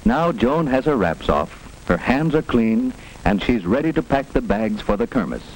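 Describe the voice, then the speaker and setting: Male narrator, lowish and animated, teaching children simply, 1940s school film with faint noise. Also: moderate pace. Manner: instructive, simple phrasing for children. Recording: noisy 1940s film soundtrack with hiss.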